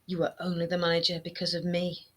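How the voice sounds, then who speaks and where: A woman voicing a shrill, nagging former queen in a storybook reading, her voice high, whining and scolding. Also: completely flat delivery, fast talker, husky. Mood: bitter. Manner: shrill and scolding, rising to near shouting. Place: home room lined with bookshelves. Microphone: webcam framing, seated close to camera.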